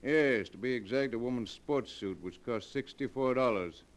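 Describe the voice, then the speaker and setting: An actor playing a calm, exacting county coroner in a vintage radio drama, speaking deliberately. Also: male voice, medium pitch, natural voice. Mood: measured. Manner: deliberate, measured. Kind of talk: 1940s radio acting.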